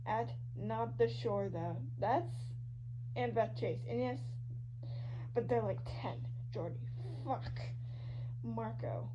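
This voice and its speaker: A woman giving an animated, dramatic, slangy reading of online fan fiction, shifting between characters. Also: fairly high pitch, takes it slowly. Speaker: podfic reader. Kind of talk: expressive fan fiction reading of social media posts. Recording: dull lo-fi audio with loud hum. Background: loud hum and noise.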